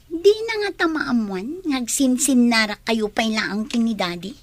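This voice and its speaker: A woman's voice: fairly high pitch, lively intonation, a little slow, no room sound. A woman voicing a spoiled, haughty young daughter in a radio drama, bossy and whiny. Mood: pouty.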